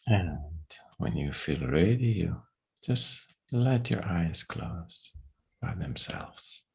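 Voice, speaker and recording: A man leading a guided meditation in a soft, breathy, hushed voice, unhurried and leaving long pauses. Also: low voice, lively intonation.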